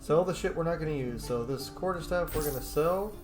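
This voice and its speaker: A man's voice: fairly high pitch, fairly quick. Man muttering through gameplay over music. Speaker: game streamer doing a playthrough. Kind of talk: casual gameplay commentary. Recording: game music behind voice. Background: video game music.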